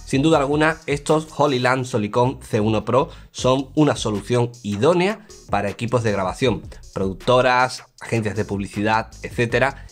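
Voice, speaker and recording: Guy doing a product review, explains stuff clearly, chatty, music in the background. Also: medium pitch, normal speed. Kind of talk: presenting a product review to camera. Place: indoor studio, grey backdrop.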